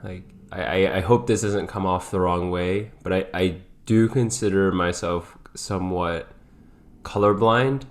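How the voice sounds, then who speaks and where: A man co-hosting a podcast in casual, reflective conversation, speaking hesitantly as he thinks out loud. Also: low-pitched, fairly even intonation, a little slow. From grew up in several countries. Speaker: podcast co-host.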